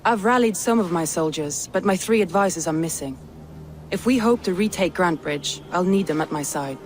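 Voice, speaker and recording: An actress voicing a proud, resolute Norse warlord in a video game cutscene, speaking in a measured, guarded way. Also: female voice, lowish voice, slight background noise, natural voice. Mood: determined. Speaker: Norse lord of a city.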